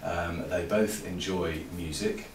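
A middle-aged host with a very deep, low voice introduces the act in wry, formal phrasing. He is caught by a far camera in a small, echoey room, with a faint hum of ambience behind him. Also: fairly even intonation, slow pace. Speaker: event host. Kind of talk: stage introduction. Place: small room at a live storytelling night.

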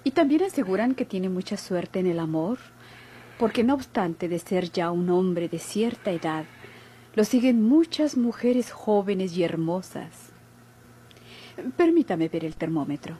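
A Mexican actress playing an inquisitive, gossipy hospital nurse in a classic radio soap, speaking formally and probingly. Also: female voice, medium pitch, animated, a little slow, mellow, natural voice. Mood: probing, professional. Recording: old noisy radio drama recording.

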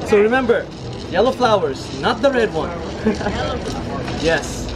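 A man's voice: high voice, animated, takes it slowly, over loud music, low-quality recording. A young man in his twenties from Malaysia, leading a tour group outdoors in a playful, joking way, calling the group "family". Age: 20s. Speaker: tour guide. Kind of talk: guided tour talk. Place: outdoor cultural park with food trucks. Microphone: camera a few steps away.

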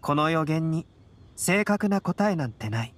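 A man playing a calm young male scholar in an anime game, measured, composed and explanatory. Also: on the high side. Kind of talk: Japanese anime game acting.

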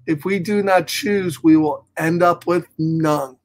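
A middle-aged American man hosting a children's story time, reading a picture book aloud with chatty asides in an animated, exaggerated way. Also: a little high, slow. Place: small home office, indoors.

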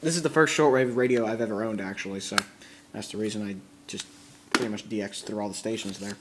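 A man's voice: lowish voice, steady tone, fast. A man chatting casually and bluntly as he demonstrates something on a home video. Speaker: amateur video maker showing a radio. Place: indoors at a wooden table. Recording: noisy home recording with radio static.

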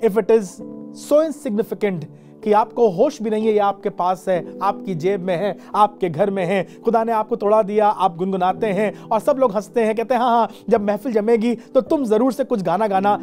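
Indian bishop in his forties, mellow and warm, dramatic sermon, soft music underneath. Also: high voice. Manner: dramatic, slows for emphasis, switches into English phrases. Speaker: bishop preaching. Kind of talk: sermon to camera. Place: dark studio set with a lamp.

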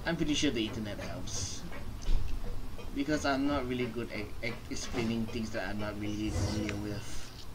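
A young man giving casual playthrough commentary as a gaming streamer, sometimes reading text aloud, halting as he explains things. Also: lowish voice, background noise. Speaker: gaming streamer reacting to a game. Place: small indoor room, on webcam. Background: game music.

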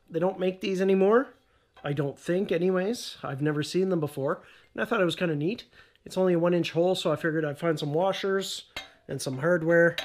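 Casual middle-aged man, a bit high-voiced, talking through a garage build; roomy echo, faint noise, tools clinking behind. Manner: chatty, offhand, with small jokes and asides. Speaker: DIY home gym channel host. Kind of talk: casual how-to vlog. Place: cluttered garage home gym, indoors. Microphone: camera-mounted mic, a few meters off.

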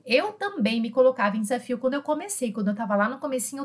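High-pitched Brazilian woman teaching informally. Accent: Brazilian Portuguese. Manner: chatty, emphatic, repeats key phrases. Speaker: French teacher. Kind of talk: informal online language lesson. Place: small indoor room with bookshelves.

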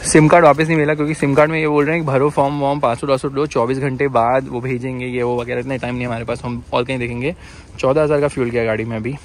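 A man's voice: medium pitch, rapid-fire, slight background noise. A man in his thirties chatting and joking as he films a casual travel vlog, walking outdoors in the cold and through a shop with a handheld camera. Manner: chatty, joking, lively narration while walking and shopping. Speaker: vlogger. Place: outdoors in cold weather, a supermarket, a motorhome. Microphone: handheld action camera.

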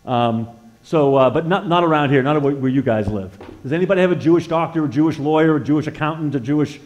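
An American man in his sixties giving an informal sermon with personal testimony as a guest preacher in a church, conversational and halting, repeating words as he feels his way and putting questions to his listeners. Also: fairly high pitch, a little mellow, dull audio. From northeastern United States. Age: 60s. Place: church sanctuary, indoors, at a lectern.

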